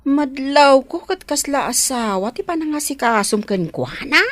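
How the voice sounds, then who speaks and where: A woman's voice: high voice, animated, moderate pace. A woman playing a nosy, deferential household helper in an Ilocano radio drama. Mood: teasing, probing.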